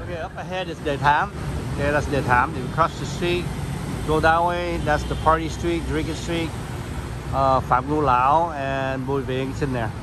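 A man's voice: fairly high pitch, fairly even intonation, moderate pace. A man narrating a casual walking tour outdoors, rambling and pointing things out as he goes, often restarting his sentences. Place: outdoors on a busy city street. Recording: clear street traffic noise. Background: passing scooters and city traffic.

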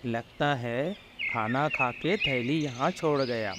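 A man playing a humble, kind village farmer in an animated moral story, speaking in a gentle, reassuring way. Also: medium pitch, takes it slowly, heavy background noise, close to an everyday voice. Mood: explaining. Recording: background music under the voice.

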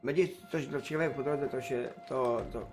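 A man's voice: mid-range voice, moderate pace, a little mellow, faint music behind. A man doing casual gameplay commentary as a streamer, muttering to himself and swearing freely. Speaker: game streamer.

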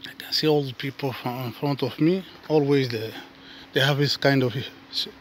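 A man, not a native English speaker, talking casually to his viewers while walking outdoors and filming with his phone, often calling them guys and dropping to soft asides. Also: mid-range voice, moderate pace, slight background noise. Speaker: vlogger. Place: outdoors on a suburban footpath.

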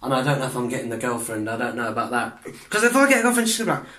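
A young man, chatting in a rambling way for a reaction vlog in his bedroom, restarting sentences, clearing his throat and swearing often. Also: mid-range voice, very animated, very fast, pushed and bright. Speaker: vlogger reacting to videos. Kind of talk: casual reaction vlog chat. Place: small bedroom indoors.

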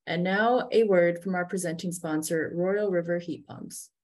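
A woman's voice: on the low side, moderate pace. Woman reading webinar announcements. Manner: steady scripted read. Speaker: webinar co-host.

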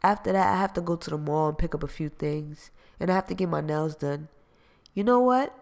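A woman's voice: low voice, steady tone, fast, creaky, a little mellow. A man reading an audiobook, voicing a sassy young woman who brushes off her ex. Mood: breezy, dismissive. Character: young woman, cool and dismissive, then defiant.

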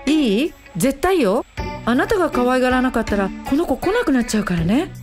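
A radio-drama matchmaker played by a woman with a lively, highish voice. She is bossy but affectionate, coaxing and instructing briskly. Music plays clearly behind her. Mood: bossy, encouraging.

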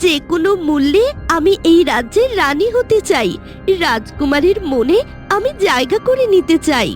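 A woman's voice: sits high, sing-song, normal speed, soft background music. A woman voicing a jealous, scheming young sister in a fairy-tale cartoon, with a dramatic, intense delivery. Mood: vengeful. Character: scheming, jealous young sister plotting revenge, feigning sweetness.

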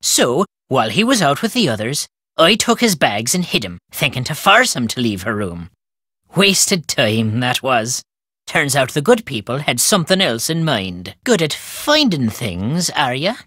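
A gruff, wry old Irish countryman for a video game, stubborn and superstitious. His voice swoops with a lively storytelling lilt and has a bright, forceful edge, recorded close and dry. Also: mid-range voice, a little slow, no room sound, heavily acted. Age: older. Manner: folksy inverted phrasing, storytelling lilt. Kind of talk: video game character dialogue.

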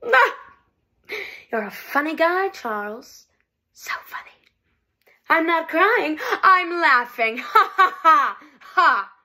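A woman acting out a heartbroken wife refusing a divorce, near tears and swinging between hushed whispers and loud outbursts. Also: very high-pitched, sing-song, takes it slowly, pauses often, full character voice. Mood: manic, tearful. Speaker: actress performing a monologue. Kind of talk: comic stage monologue.

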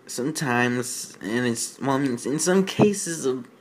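Male fan fiction critic, mid-range voice, unhurried. Incredulous and exasperated, halting off-the-cuff delivery with stammered repeats. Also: medium pitch, expressive, low-quality recording. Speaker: host of a fan fiction reading show. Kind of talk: mocking read-aloud with off-the-cuff commentary.

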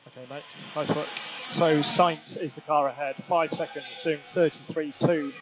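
A man's voice: a little high, fairly even intonation, moderate pace, muffled recording. Male race engineer on noisy team radio. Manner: clipped, procedural callouts. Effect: team radio filter. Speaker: Formula One race engineer. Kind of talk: team radio instructions to a driver. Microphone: radio headset. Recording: noisy motorsport radio link.

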